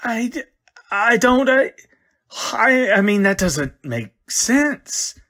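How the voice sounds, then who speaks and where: A man acting a modest, flustered bereaved husband in an old-time radio drama, halting and hesitant. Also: very high voice, very animated, bright, forceful tone, full character voice. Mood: flustered, evasive.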